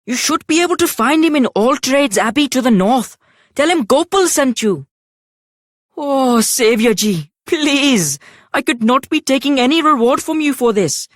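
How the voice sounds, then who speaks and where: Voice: mid-range voice, dry recording, studio quality, close to an everyday voice. An actor voicing an earnest, devoted young male spice merchant in a video game, effusive and humbly polite, with an Indian accent. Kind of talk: video game character acting.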